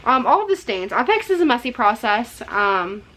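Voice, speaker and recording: A young woman giving a chatty, rambling makeup tutorial, her voice a little hoarse from a cold. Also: fairly high pitch, big pitch swings, normal speed, pushed and bright, poor audio. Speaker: makeup vlogger.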